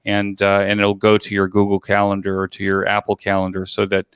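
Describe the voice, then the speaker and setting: An older man speaking casually as an interview guest, in a halting way with repeated words, describing his work as an organizer. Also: fairly low pitch, monotone, fairly bright tone, smooth, dry recording, studio quality. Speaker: guest, virtual expo organizer.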